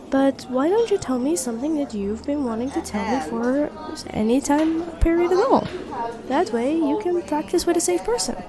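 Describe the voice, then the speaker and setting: A woman playing a sweet, supportive young best friend with a secret crush, speaking gently and earnestly in an intimate audio roleplay. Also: sits high. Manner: gentle, earnest, encouraging, conversational with soft hesitations. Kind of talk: intimate roleplay audio acting, one-sided dialogue. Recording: cafe chatter behind the voice. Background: coffee shop crowd ambience.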